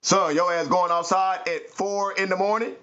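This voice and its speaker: A man's voice: high-pitched, steady tone, moderate pace, bright, forceful tone, pitched above the performer's own voice. A man voicing a stern father grilling his young son with pointed questions. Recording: muffled lo-fi recording.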